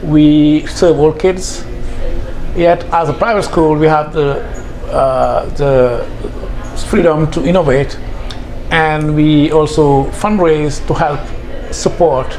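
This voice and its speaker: Measured middle-aged man from Senegal. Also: fairly high pitch, fairly even intonation, darkish tone, slight background noise. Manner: measured, thoughtful, with frequent hesitations. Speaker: head of school. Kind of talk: interview answers.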